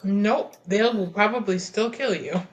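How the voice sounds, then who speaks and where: A woman's voice: mid-range voice, unhurried. A woman chatting casually and bluntly as a player in a tabletop roleplaying game. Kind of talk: casual planning chat.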